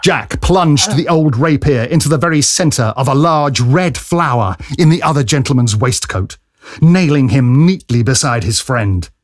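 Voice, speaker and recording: A man's voice: medium pitch, lively intonation, a little slow. A man narrating a scripted period adventure story in a vivid, theatrical manner.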